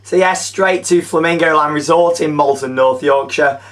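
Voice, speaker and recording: Croaky enthusiastic male news host. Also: fairly high pitch, normal speed, distant mic, soft background music. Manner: chatty, enthusiastic, frequent fillers. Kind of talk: upbeat theme park news presenting.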